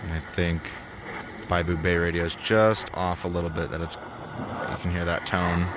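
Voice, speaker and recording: Casual male radio hobbyist, deep and fairly monotone, commentating outdoors amid heavy static noise. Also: normal speed. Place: outdoors in chilly air. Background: shortwave radio signals and static.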